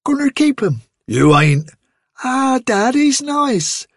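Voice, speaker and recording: Young country farm girl, voiced by a man, high and pleading, husky edge, dry close sound. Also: unhurried, fairly bright tone, no room sound, full character voice. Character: young farm girl, stubborn and tender-hearted.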